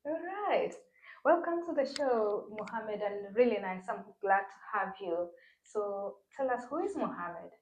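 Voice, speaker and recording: A woman's voice: medium pitch, expressive, measured pace, darkish tone, reverberant, slight background noise, rough recording. A woman hosting an interview, asking friendly, prompting questions in a casual chat. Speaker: interview host.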